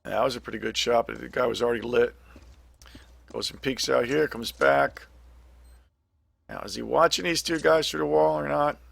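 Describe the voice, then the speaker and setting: A middle-aged man with a scratchy, gravelly voice, casually commenting on a game replay, hesitant with many ums and restarts. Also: medium pitch, fairly even intonation, normal speed, pauses often, fairly bright tone. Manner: hesitant, lots of ums and restarts, thinking aloud. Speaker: gaming commentator.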